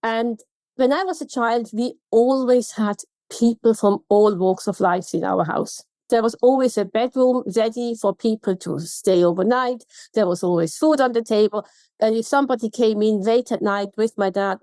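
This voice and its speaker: A middle-aged woman with a Swiss German accent talks as a podcast guest. She is emphatic and doubles words to drive points home. Her voice sits at a middle pitch on a dry, close mic. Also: warm tone, dry recording. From Switzerland. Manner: emphatic, repeats words for stress. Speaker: guest consultant. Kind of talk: podcast interview.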